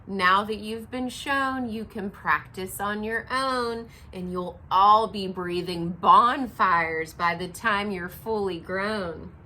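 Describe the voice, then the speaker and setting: A woman voicing a strict female dragon teacher in a storybook, loud and commanding. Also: mid-range voice, takes it slowly, hardly any pauses, a little hiss or hum.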